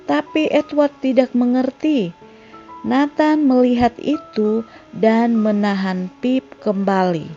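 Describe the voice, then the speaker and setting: A woman's voice: on the high side, steady tone, takes it slowly, dark tone, highs cut off. A woman reading a scripted narration that recaps a film's story. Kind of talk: scripted narration of a film plot. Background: background music.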